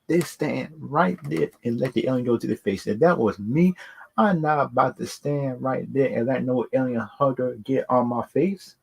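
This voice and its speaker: Man, gravelly fry, raspy tone, informal video host, off-the-cuff opinions, looping repeated phrases. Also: medium pitch, normal speed, darkish tone. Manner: rambling, repeats words and stock phrases. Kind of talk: casual unscripted opinion talk on films and games.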